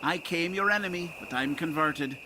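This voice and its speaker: An actor playing a blustery but good-hearted parish priest with an Irish accent in an audio drama. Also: male voice, a little high, normal speed, noisy background, lightly acted. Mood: won over.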